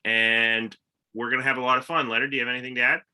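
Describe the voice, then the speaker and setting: Bright-voiced male podcast host. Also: medium pitch, flat delivery, fast, clear, resonant tone. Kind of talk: casual podcast chat.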